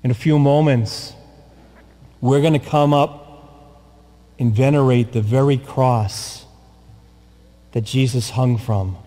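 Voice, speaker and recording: Slow, solemn priest in echoing church. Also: male voice, medium pitch, fairly even intonation, warm tone. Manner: slow, solemn and deliberate, with long pauses and repetition. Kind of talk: sermon. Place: large church, from the pulpit. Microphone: far camera, pulpit mic. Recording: long church reverb.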